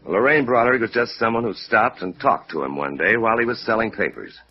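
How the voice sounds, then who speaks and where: A man's voice: on the low side, animated, brisk, breathy edge. An actor playing an earnest, sentimental middle-aged insurance claims man in a 1950s radio drama, talking conversationally. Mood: earnest, wistful. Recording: muffled vintage radio recording.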